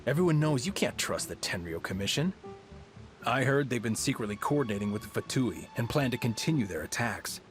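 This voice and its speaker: A man voicing a hushed, gossiping soldier. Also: medium pitch, sing-song, a little fast, music in the background, lightly acted. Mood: confiding. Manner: hushed, confiding.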